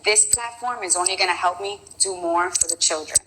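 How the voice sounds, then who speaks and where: A woman from Brooklyn, a school teacher who hosts radio, talking in an excitable, gushing way in a casual live interview. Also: lowish voice, brisk, noisy background. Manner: excitable, gushing, laughs often.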